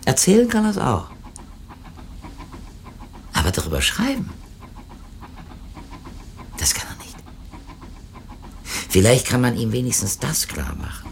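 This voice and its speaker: An actor playing an earnest, reflective literary editor in a naturalistic radio play, with hesitant, dryly ironic turns. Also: male voice, medium pitch, huge pitch swings, a little slow, vocal fry, pauses often, slight background noise, full character voice. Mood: musing, wry. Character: earnest, musing male publishing editor visiting an author.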